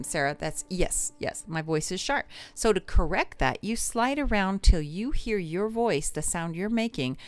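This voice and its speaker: A woman voice teacher talks viewers through an ear-training exercise in a chatty, encouraging way, and she laughs easily. Her voice sits fairly low. Clear instrument notes play behind her. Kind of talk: live-streamed singing lesson.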